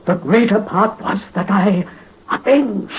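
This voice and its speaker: An actor playing a dying French count consumed by vengeance, weak yet commanding. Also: male voice, sits high, takes it slowly. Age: 50s. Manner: weak but commanding, imperious. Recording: dull, faintly hissy 1930s radio recording.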